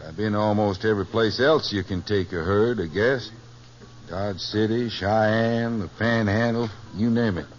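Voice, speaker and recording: An actor in a 1950s radio western playing a seasoned American cowhand, casual and folksy. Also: male voice, on the low side, unhurried, faint music behind, natural voice. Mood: matter-of-fact. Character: plainspoken, seasoned male cowhand. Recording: muffled old radio recording, faint noise.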